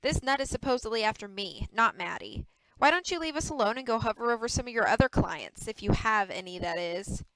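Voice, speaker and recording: A woman narrator acts out a swaggering young male TV heartthrob in a storybook. He is flippant and boastful, always teasing. The voice is smooth and dry. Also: on the high side, expressive, a little fast, fairly bright tone, dry recording, rough recording. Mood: scornful. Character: cocky, teasing young male soap opera star.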